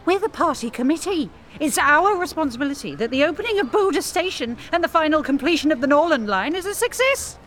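A woman's voice: high-pitched, expressive, brisk, a bit bright, slight background noise. A woman acting in an audio drama as an anxious, fretful event organiser who is flustered and easily alarmed. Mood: tense insistence.

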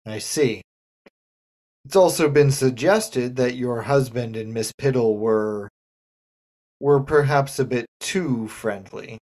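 Polite detective, acted by a man, measured, formal, tactful, medium pitch, comic radio whodunit. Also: a little mellow, natural voice. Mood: tactful, grave. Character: polite, restrained male police detective questioning a widow. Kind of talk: comic radio murder mystery.

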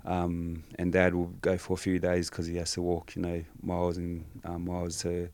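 A man from Samoa whose first language is Samoan, talking softly with many fillers as a guest on a podcast. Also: deep voice, completely flat delivery, moderate pace, no echo. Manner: soft-spoken, with many fillers. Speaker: guest, rugby league player. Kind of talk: casual podcast interview.

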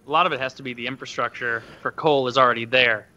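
A man's voice: mid-range voice, fairly even intonation, quick pace, bright, forceful tone, lo-fi sound. A man in casual chat over a video call, blunt and swearing.

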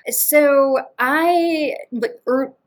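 A woman in her thirties from Western Massachusetts with a slight local accent, talking as an interview guest in an upbeat, enthusiastic way. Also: high-pitched. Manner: upbeat, enthusiastic, quick bursts. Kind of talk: casual interview.

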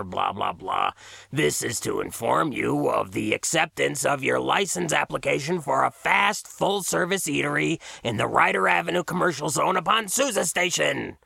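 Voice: medium pitch, moderate pace, creaky, pushed and bright, husky, dry recording, studio quality, heavily acted. A storybook narrator voicing an earnest male diner owner who is blunt, questioning and easily astonished. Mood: overwhelmed.

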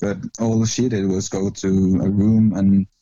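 A man from Norway with a Norwegian accent, a singer-songwriter chatting casually as a podcast guest, rambling and halting with fillers. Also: lowish voice, level tone, dark tone, dry recording, lo-fi sound.

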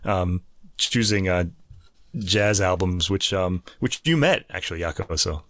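A man's voice: low voice, measured pace, a bit bright, no room sound. A man co-hosting a podcast, dropping in short, dry asides. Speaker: podcast co-host.

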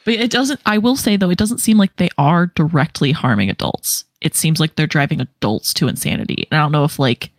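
A woman's voice: sits low, expressive, brisk, hardly any pauses, no echo, studio quality. A woman in a casual podcast discussion, musing aloud in a tentative, conversational way. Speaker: podcast co-host or guest.

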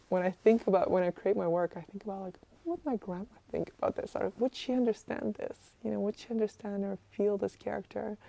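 A woman's voice: mid-range voice, gravelly, mellow, dry recording. A woman chatting casually as an interview guest. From Kazakhstan, moved to Canada aged ten.

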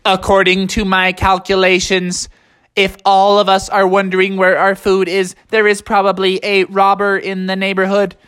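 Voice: medium pitch, monotone, moderate pace, a bit bright, smooth, pitched above the performer's own voice, natural voice. A storyteller voicing an earnest robot bear, proud of his gadgets, speaking in a precise, stilted way. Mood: matter-of-fact.

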